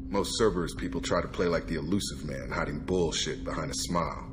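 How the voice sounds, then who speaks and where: A man's voice: a bass voice, brisk, background noise. An actor playing a blunt, guarded male soldier in a video game, plain-spoken and loyal. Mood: guarded, frank.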